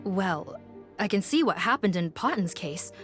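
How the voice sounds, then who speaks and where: Game casting: woman as formal doubting officer, raised pitch, expressive phrasing, music clearly behind. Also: measured pace, natural voice. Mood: formal, doubtful. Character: courteous, skeptical female officer, formal and professional. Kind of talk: English video game voice acting.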